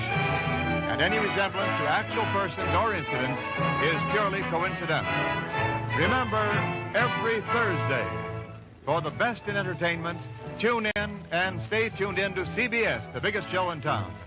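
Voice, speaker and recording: Male vintage radio announcer, high voice, scripted 1940s delivery, loud music behind, dull sound. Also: lively intonation, normal speed.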